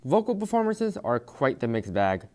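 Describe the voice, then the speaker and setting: A man's voice: mid-range voice, sing-song, normal speed. Young man narrating over background music. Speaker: video essay narrator. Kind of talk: scripted commentary narration.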